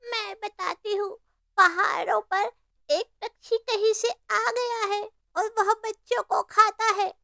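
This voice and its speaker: Woman doing a squeaky, bright, alarmist little bird. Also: fairly even intonation, slow, gravelly, dry recording, clean studio sound, full character voice. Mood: persuasive. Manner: urgent, exclamatory, persuasive. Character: squeaky, alarmist forest birds, scheming and pleading. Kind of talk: Hindi cartoon story voice acting.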